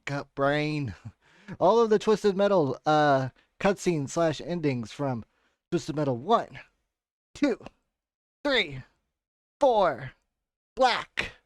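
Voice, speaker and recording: Male game streamer, slightly high voice, loose self-correcting chat, very dry close sound. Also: no room sound. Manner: informal, rambling, self-correcting. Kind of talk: casual watchalong stream chat.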